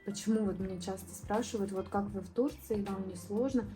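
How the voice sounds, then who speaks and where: Young woman from southern Moldova, art vlogger, mellow tone, relaxed and rambling delivery. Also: medium pitch, a little fast, faint music behind. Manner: relaxed, rambling, many fillers and restarts. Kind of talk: casual painting vlog voice-over.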